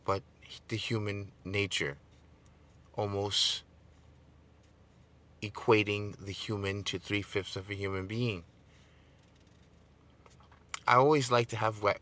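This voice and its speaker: A middle-aged man with a smooth voice hosting a political podcast, talking straight to his listeners and building into an emphatic, preacher-like cadence. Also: on the low side, pushed and bright. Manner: addresses listeners directly, seeks call-and-response. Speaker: podcast host. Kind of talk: casual political podcast monologue.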